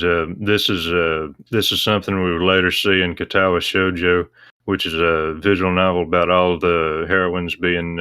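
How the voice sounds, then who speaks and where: A man explaining things casually as a guest, in a hesitant, measured way. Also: low voice, pushed and bright, smooth, dry recording.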